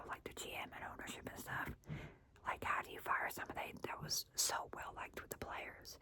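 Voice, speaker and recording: Whispering chatty woman. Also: bright, forceful tone. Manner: whispering throughout, chatty, many fillers. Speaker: football fan. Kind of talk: whispered casual chat.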